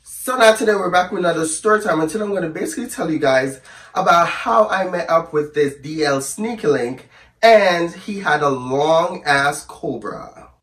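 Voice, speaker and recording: A man with a hoarse voice from the flu, telling a storytime to camera in a chatty, sassy, rambling way and calling his viewers "girl". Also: a little high, lively intonation, moderate pace, a bit bright, roomy sound, far from the mic. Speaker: storyteller vlogger. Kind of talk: casual storytime chat to camera.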